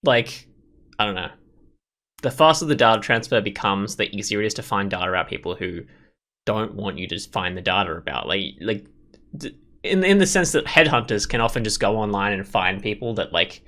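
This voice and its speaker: A man's voice: on the low side, expressive, fairly quick, bright, forceful tone. A man hosting a gameplay video, reading visual novel text aloud and adding rambling, casual commentary.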